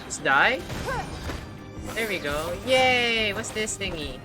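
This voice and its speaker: A woman game streamer reacting casually to play, blunt and expressive. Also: medium pitch, slow. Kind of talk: casual reactions while playing a video game. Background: game music and sound effects.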